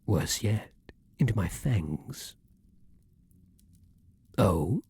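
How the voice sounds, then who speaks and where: A man's voice: fairly low pitch, lively intonation, very slow, warm tone, breathy edge, no echo, full character voice. A man playing a vampire king, an ardent, protective lover with courtly, formal phrasing, in an intimate ASMR roleplay. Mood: anxious, reproachful.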